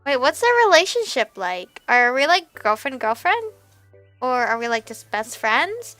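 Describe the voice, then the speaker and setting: A young woman reading a visual novel aloud as a let's player, expressive and animated, with music behind her. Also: high voice, unhurried.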